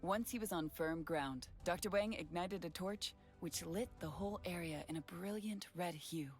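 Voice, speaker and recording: A woman giving scripted story narration in a steady, even reading voice. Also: on the low side, moderate pace, faint music behind.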